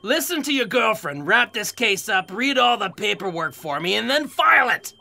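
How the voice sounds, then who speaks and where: Man playing a sharp, gravelly, cranky chief. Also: sits high, big pitch swings, moderate pace, exaggerated voice. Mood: exasperated. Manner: grumbling, exasperated. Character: cranky, impatient male police lab chief. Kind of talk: comic sci-fi audio drama acting.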